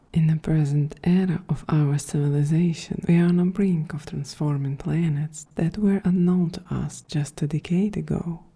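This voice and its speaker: A woman voicing a calm, quietly grandiose female android in a breathy, half-whispered, soft-spoken ASMR roleplay. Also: low voice, heavily acted. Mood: measured, lecturing. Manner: slow, measured, solemn delivery.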